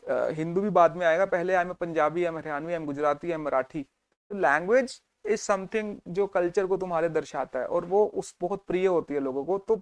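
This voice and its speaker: A man's voice: sits high, no echo. A man teaching an exam revision lesson, telling the history as a story and checking in with his students as he goes. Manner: storytelling, mixes Hindi and English, checks in with students.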